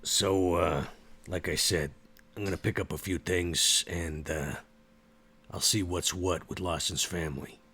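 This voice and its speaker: A man playing a gruff, loyal, easygoing vampire bruiser in a tabletop game, with a laconic, folksy way of talking. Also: sits low, fairly even intonation, unhurried, pushed and bright, exaggerated voice. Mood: gruff, laid-back.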